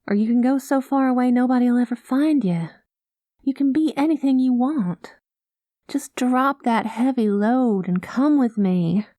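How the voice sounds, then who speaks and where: A woman playing a rogue space pilot in an ASMR roleplay, talking close to the mic in a soft, folksy cowboy drawl that drops into whispers. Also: a little high, a little slow, no room sound. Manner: soft-spoken, slips into whispers. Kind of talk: ASMR roleplay, spoken to the listener.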